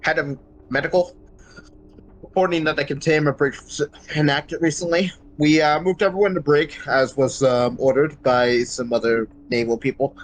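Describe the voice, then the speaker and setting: A man playing a shaken but resolute starship medical officer in a sci-fi roleplay audio log, speaking gravely and haltingly. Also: fairly high pitch, lightly acted, low-quality recording. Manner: halting, grave, stammering under strain.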